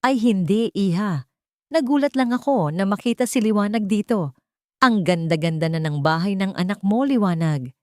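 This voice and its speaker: A woman's voice: medium pitch, lively intonation, moderate pace, mellow, dry recording, clean studio sound, lightly acted. A Filipino woman voicing a friendly, surprised elderly village neighbor, exclaiming and familiar, in a narrated story.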